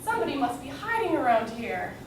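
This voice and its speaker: A brisk, puzzled night watchman played by a woman exclaims his way through a children's storybook. The pitch is high, and the room is noisy and full of echo. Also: sing-song. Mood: startled. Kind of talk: children's storybook read aloud. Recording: echoey noisy room, distant mic.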